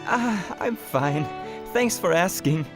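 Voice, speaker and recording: A woman's voice: on the low side, lively intonation, slow. A woman playing an easygoing, boyish young male trainer in an anime-style manga dub. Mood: playful. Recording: music under the voice. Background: background music.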